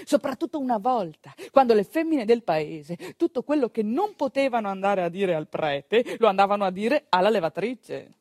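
A woman narrating a stage monologue as an actress, in an expressive, conversational and earthy storytelling manner. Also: medium pitch, normal speed, hardly any pauses, no room sound, studio quality.

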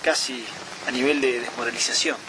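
A man voices a reflective guerrilla's testimony as a dramatic radio reading. His pitch is middling. A clear wash of rain-like noise sits behind him. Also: flat delivery, a little fast, natural voice. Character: reflective male guerrilla fighter giving testimony.

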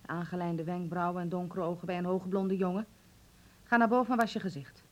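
A woman's voice: on the low side, little pitch movement, fairly quick, close to an everyday voice. An actress playing a stern, controlling mother in a Dutch radio play, commanding and reproachful in manner. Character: stern, wounded, controlling mother of a teenage son.

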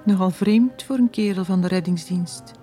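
An actress with a Flemish accent playing a suspicious, insinuating village gossip in a radio play. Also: female voice, mid-range voice, normal speed, warm tone, soft background music, close to an everyday voice. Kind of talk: 1980s radio play acting.

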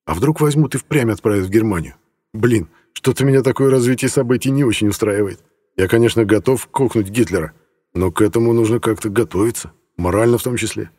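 Guy narrating an audiobook in first person, lowish voice, lots of reverb on it. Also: a little fast, a little mellow, slightly rough.

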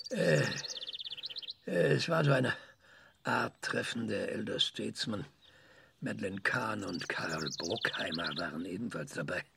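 A man's voice: lowish voice, vocal fry, a bit bright, slight background noise, heavily acted. An older man in a radio drama playing an aggressive, eccentric, obsessive scientist who speaks in a hesitant, fitful way. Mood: brooding.

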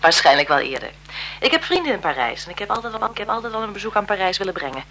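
An actress playing a frail-seeming, calculating blackmailer in a radio drama, deferential and insinuating in manner. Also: female voice, mid-range voice, big pitch swings, very fast, a bit bright. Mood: menacing, smooth. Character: frail-seeming, calculating female blackmailer, photographer's bereaved sister. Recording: 1970s radio recording with noise.

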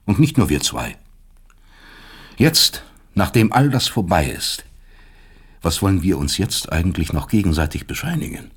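An actor in a German radio play playing a proud, bitter older East German professor of psychiatry, articulate, lecturing and sardonic. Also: male voice, low-pitched, sing-song, moderate pace, pauses often, slightly rough, exaggerated voice. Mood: indignant, cold.

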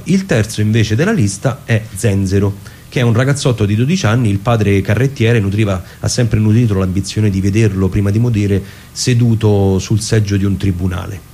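A man informally retelling a literary story, haltingly and searching for words. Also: on the low side, slight background noise. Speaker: radio performer summarising a story. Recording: radio broadcast with loud guitar music. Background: plucked guitar music.

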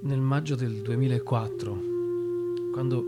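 An actor playing a dead young Sicilian doctor who speaks bitterly of his own death in halting radio drama monologue. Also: medium pitch, steady tone, loud music behind the voice, close to an everyday voice. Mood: bitter, anguished.

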